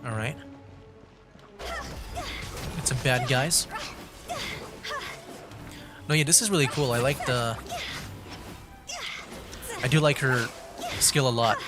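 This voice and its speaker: A male game streamer with a medium-pitched voice talks casually through his play. He thinks aloud in an easygoing manner, with clearly audible game music underneath. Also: huge pitch swings, takes it slowly, pauses often. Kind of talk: casual livestream gameplay commentary.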